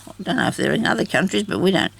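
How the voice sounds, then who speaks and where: An older woman with a deep, raspy voice, chatting casually and laughing at herself while she does craft work. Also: sing-song, rapid-fire. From Australia. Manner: chatty, self-deprecating, laughs often. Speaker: craft vlogger.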